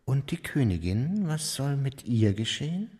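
An actor playing a cold, calculating court conspirator in a German radio play, speaking in a measured, formal manner. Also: male voice, medium pitch, very animated, a little mellow.

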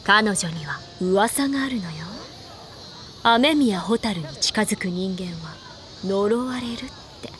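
A woman's voice: medium pitch, slow pace, a bit bright. An actress playing a smug teenage girl who teases the others in a knowing tone. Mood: teasing. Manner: teasing, smug. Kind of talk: drama CD acting. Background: music.

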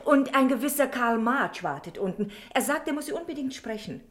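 An actress playing a polite, efficient secretary with a deferential, brisk manner in a German radio crime drama. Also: female voice, sits high, animated.

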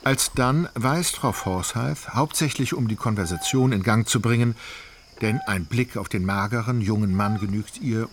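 Low-voiced male literary narrator. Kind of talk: scripted literary narration in radio drama. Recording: clear background ambience noise.

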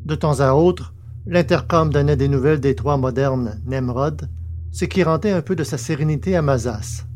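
A man's voice: a little high, moderate pace, a little mellow, a little hiss or hum. A man narrating an audio fiction story, reading scripted prose as a storyteller.

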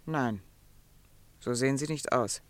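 An actress playing a desperate, determined wife in a German radio drama, earnest, insistent and pleading. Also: female voice, rumbling low, unhurried, no echo. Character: Mrs Parsons, desperate, determined wife of an accused man.

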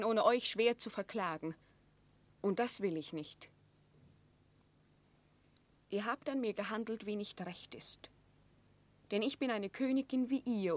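A woman's voice: medium pitch, lightly acted. An actress playing a proud, wronged captive queen in a classical radio drama, pleading in solemn, declamatory verse. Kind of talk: classical German stage drama radio play.

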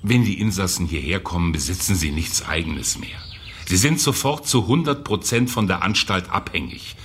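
An actor playing a cynical, callous youth prison director in a German radio drama, condescending and bureaucratic. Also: male voice, sits low, expressive, moderate pace, a bit bright, a little hiss or hum. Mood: cold, defensive.

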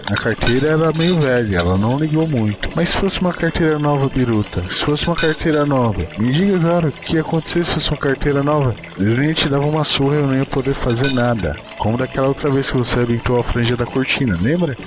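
A man voicing a small, poor orphan boy who talks to his dog in a soft, earnest, scolding way. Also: medium pitch, fast talker, hardly any pauses, pitched above the performer's own voice, natural voice. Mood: mild scolding.

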